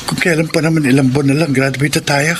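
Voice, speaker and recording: A man's voice: fairly high pitch, steady tone, fast talker, noisy background, close to an everyday voice. A young man playing a nervous, earnest student boyfriend in a Filipino radio drama, with a Filipino accent. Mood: nervous, contrite. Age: young adult. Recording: loud music behind the voice.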